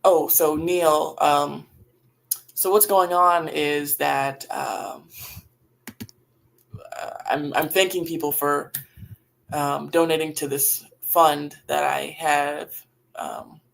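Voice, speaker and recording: A woman with a raspy voice talking casually and reflectively on a livestream while grieving, in halting phrases that sometimes trail off. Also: deep voice, steady tone. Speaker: livestream host. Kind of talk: casual, reflective livestream talk about faith and grief.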